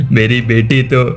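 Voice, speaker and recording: A man playing a proud older king and father in a cartoon, with measured, declamatory speech. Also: medium pitch, vocal fry. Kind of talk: dramatic Hindi cartoon dubbing.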